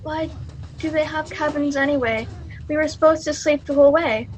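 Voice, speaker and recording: Woman acting high, blunt young colonist. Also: moderate pace, lightly acted, rough recording. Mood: exasperated. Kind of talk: student sci-fi radio play acting.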